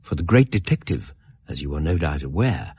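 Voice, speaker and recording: Low husky English gentleman narrator. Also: sing-song, no echo, exaggerated voice. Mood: reflective. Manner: measured, formal, literary. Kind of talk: dramatised first-person narration.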